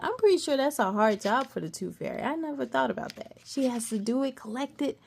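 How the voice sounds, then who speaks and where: A woman storyteller reads a children's picture book aloud, her high voice rising and falling with lively expression. She takes an unhurried pace and breaks off for playful, chatty asides to her young listeners. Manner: animated, playful, gasps and comments to listeners.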